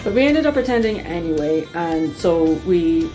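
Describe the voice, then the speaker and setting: A woman telling personal stories to her viewers in a casual, chatty, expressive way, like an enthusiastic fan. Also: on the low side, normal speed, music in the background, rough recording. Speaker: vlogger.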